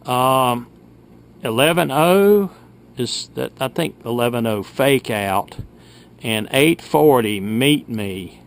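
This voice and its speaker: A middle-aged man with a gravelly voice, chatting casually in a folksy way as he hosts a vintage gadget showcase. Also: medium pitch. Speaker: video host. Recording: steady background noise.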